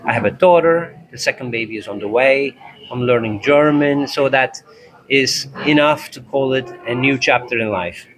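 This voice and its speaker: A man from Turkey with Turkish as his first language, a tango instructor telling his story in a relaxed, thoughtful way in an interview. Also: medium pitch, moderate pace, poor audio. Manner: thoughtful, narrates in chapters, acts out dialogue.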